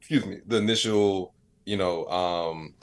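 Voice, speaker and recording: Deep-voiced man chatting casually in an interview. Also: a little slow. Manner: informal, reflective, frequent "like" and "you know". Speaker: podcast guest, comedian.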